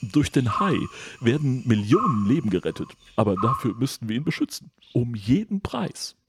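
A man's voice: medium pitch, very animated, normal speed, slight background noise, voice pushed up. An actor, a man, voicing a ruthless, dismissive, self-important company boss in parody film dialogue. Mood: cold, ruthless. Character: cold, ruthless male corporate boss villain.

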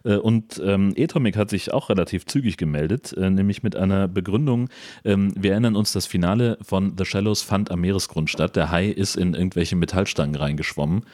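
Deep-voiced man, casual podcast co-host. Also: fairly quick, darkish tone. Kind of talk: casual podcast chat about films.

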